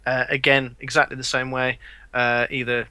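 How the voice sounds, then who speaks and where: A man's voice: medium pitch, monotone, moderate pace, sharp tone, smooth, no echo. A man, joking around as a podcast co-host. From England. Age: 30s. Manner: joking, playful. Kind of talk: casual chat.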